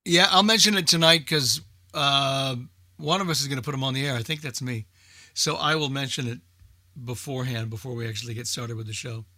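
A man's voice: mid-range voice, steady tone, a little fast, bright, forceful tone, no room sound. A man chatting casually in a group as a radio show host, talkative and folksy in his exclamations.

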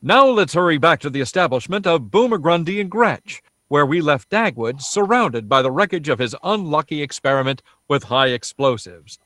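A man's voice: fairly high pitch, lively intonation, moderate pace, hardly any pauses. A man with an American accent giving polished, emphatic scripted narration as a 1940s radio announcer. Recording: vintage radio broadcast.

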